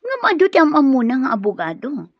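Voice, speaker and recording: A calculating woman plotting in a radio drama. Her voice is husky yet fairly high and moves expressively through her sly lines, close and dry. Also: wildly swooping pitch, fast talker, no room sound, heavily acted. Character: scheming female accomplice plotting over money. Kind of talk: Ilocano radio drama acting. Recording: dull, lo-fi radio drama audio.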